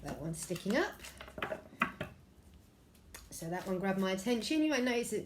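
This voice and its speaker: A woman with a head cold, her voice a little croaky, giving a chatty angel card reading at home with many ums and throat clearings. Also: mid-range voice, big pitch swings, moderate pace, a little hiss or hum. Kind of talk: casual spiritual vlog talk. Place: room at home by a window.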